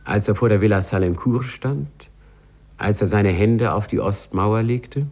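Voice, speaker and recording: An actor as a wry, knowing storyteller, narrating a 1950s German radio play in a measured way. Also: male voice, on the low side, a little mellow. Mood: suspenseful, wry. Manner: wry, measured, addresses listeners. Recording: 1950s radio recording, faint music behind.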